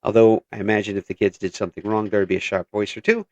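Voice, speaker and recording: A man hosting a casual interview, chatty and quick to laugh, often trailing off and restarting his sentences. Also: on the low side, level tone, no echo, dull audio. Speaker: interview host.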